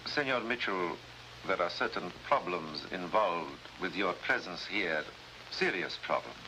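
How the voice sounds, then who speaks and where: An actor playing a formal, cautious head of government, in measured 1950s drama style. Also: male voice, low-pitched, animated. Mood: grave, cautious. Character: grave, formal Latin American head of government. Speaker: actor in a supporting role. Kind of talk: 1950s spy drama acting. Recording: noisy vintage 1950s broadcast recording.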